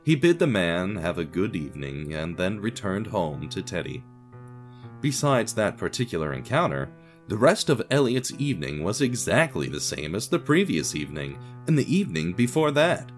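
Male podcast drama narrator, fairly deep, expressive scripted read over faint guitar music. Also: normal speed.

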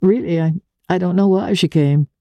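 A woman voicing a worldly, melancholy young countess, deliberate and gently ironic, in a dramatic reading. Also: lowish voice, dry recording, dull audio, pitched above the performer's own voice, close to an everyday voice. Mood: wry, reflective.

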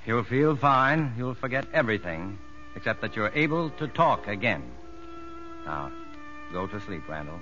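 An actor playing an earnest prison psychologist in a 1950s radio drama, measured and probing. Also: male voice, fairly low pitch, sing-song, pushed and bright. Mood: probing, commanding. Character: earnest male prison psychologist, narrating and talking with convicts. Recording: dull vintage radio recording, music underneath.

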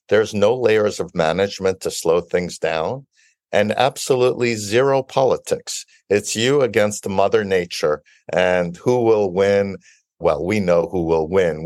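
A mature man from Brooklyn speaks in a very low voice. He answers interview questions in an articulate, measured way, explaining things clearly on a dry, close recording. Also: darkish tone, no room sound. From Italy-born, raised in Brooklyn, New York. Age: 50s or older. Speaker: interview guest, biotech founder and CEO.

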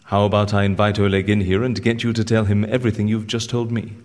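An actor playing a cheeky, scheming con artist in an audio drama, with a wry, persuasive way of talking. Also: male voice, on the low side, completely flat delivery, quick pace, a little mellow, close to an everyday voice. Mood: coolly threatening.

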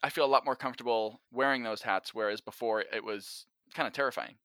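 A man's voice: mid-range voice, fairly even intonation, fairly quick, pushed and bright, smooth, no echo, studio quality. A man in a casual, reflective conversation as a podcast guest, speaking candidly and hesitantly in a self-effacing way. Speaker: podcast guest, actor and writer of audio drama.

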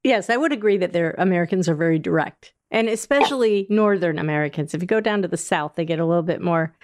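A woman's voice: fairly low pitch, fast talker, a little mellow. A woman from New Hampshire hosting a podcast, asking friendly, curious questions. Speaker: podcast host. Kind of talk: casual interview chat.

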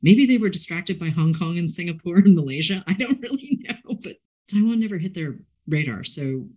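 Effusive, expressive woman interviewer. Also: on the low side, fairly quick, breathy edge. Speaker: interviewer, book show host. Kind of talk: enthusiastic book chat.

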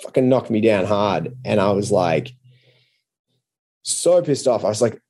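A man's voice: lowish voice, unhurried, dark tone. An Australian man with an Australian accent, a podcast guest and comedian telling anecdotes in a casual, conversational style.

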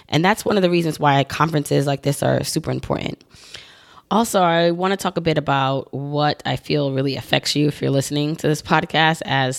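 A woman's voice: low-pitched, vocal fry. A woman in her thirties hosting her own podcast, talking solo in a chatty, upbeat way with rambling asides, her voice still recovering after she lost it. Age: 30s. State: recovering from voice loss. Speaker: podcast host.

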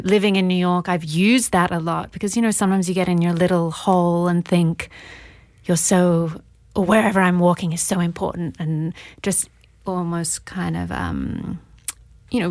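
Lower-pitched woman hosting, dry close sound. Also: no echo. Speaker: podcast host interviewing an author. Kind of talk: casual conversational interview.

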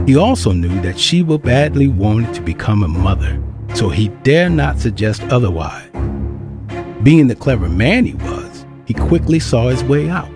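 A man narrating an audio drama in a steady storytelling delivery. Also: on the low side. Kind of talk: scripted narration.

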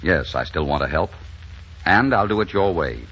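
A tough, ardent leading man tells his adventure in dramatic 1940s radio fashion. His low voice swings widely between murmured romance and narration, with music prominent on the muffled old recording. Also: animated, moderate pace. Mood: tender, low.

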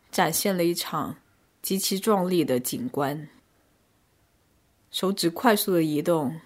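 A woman narrating a scripted first-person arts review in measured, descriptive short phrases. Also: fairly low pitch. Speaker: podcast host and reviewer.

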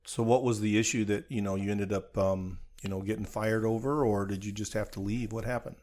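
A man's voice: fairly low pitch, steady tone, a little fast, a little mellow. A man co-hosting an interview, joking and teasing between questions. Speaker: co-host. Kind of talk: casual interview chat.

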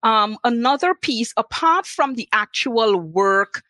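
A woman's voice: fairly high pitch, expressive, slow pace, bright, forceful tone, no room sound. A woman from Trinidad with a Trinidadian accent, a nurse speaking earnestly on a panel and listing points with emphasis. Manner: earnest, emphatic, lists items. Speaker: international nurse guest. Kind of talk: panel talk.

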